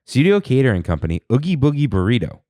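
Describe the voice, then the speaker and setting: Podcast co-host, male, Armenian first language; animated, lively pitch, dry sound. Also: fast, no echo. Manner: animated, rattles off menu items. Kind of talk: casual chat.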